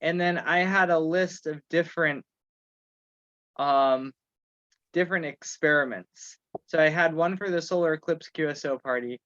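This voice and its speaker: A man hosting an informal online meeting, thinking aloud in a halting way as he organizes things. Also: a little high, a bit bright, no room sound. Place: online conference call. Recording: muffled video call audio.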